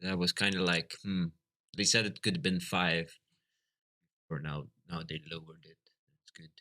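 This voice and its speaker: A Flemish man from Belgium whose first language is Dutch, chatting casually on a live stream, halting and full of fillers. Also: low-pitched, steady tone, pushed and bright. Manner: halting, lots of fillers and restarts. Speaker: livestreamer.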